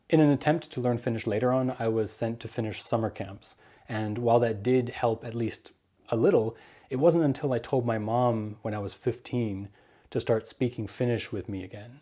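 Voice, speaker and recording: A young man in his twenties with a warm, mellow voice, talking straight to the camera. He explains things earnestly and hands out direct, practical advice in a personal vlog. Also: mid-range voice. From Germany. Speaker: vlogger.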